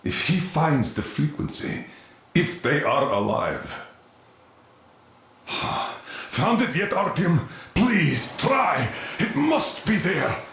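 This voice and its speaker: In a heavily echoing space, a middle-aged military commander from a dramatic game. He is emphatic and driven, with swinging pitch and a gravelly edge to his commands. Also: very animated, slow pace, fairly bright tone, slight background noise, exaggerated voice. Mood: urgent, desperate. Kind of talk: dramatic video game acting. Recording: very echoey, reverberant room sound.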